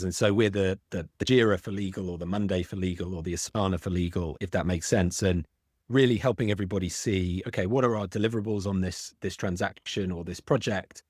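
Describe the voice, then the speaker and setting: A man's voice: deep voice, darkish tone, no echo, clean studio sound. A middle-aged man with a British accent, a podcast guest who explains at length, often restarting on small words. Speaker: guest, legal tech founder. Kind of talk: podcast interview.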